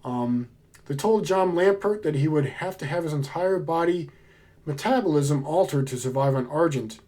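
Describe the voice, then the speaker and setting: A man with a somewhat high speaking voice, a little hoarse from a cold. He talks in a relaxed, chatty way and gets plainly excited about what he is showing. The tone is casual and friendly. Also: moderate pace. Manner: enthusiastic, chatty, laid-back. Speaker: book vlogger. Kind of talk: casual book haul chat.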